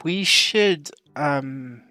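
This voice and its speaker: A man's voice: fairly high pitch, expressive, drawn-out words, sharp tone, faint music behind. A man playing a tabletop game, talking hesitantly with frequent restarts in character. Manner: hesitant, stammering. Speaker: tabletop player. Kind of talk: in-character roleplay.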